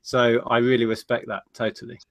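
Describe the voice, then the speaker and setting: A man's voice: medium pitch, little pitch movement, fairly quick, no room sound. A man hosting a casual live-stream chat, speaking earnestly and restarting his phrases often. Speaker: host.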